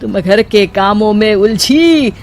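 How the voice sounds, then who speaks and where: A woman voicing a boastful, scornful elderly mother-in-law in an animated story, declaiming theatrically. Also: medium pitch, takes it slowly. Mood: boastful, irritated. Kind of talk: animated story character acting.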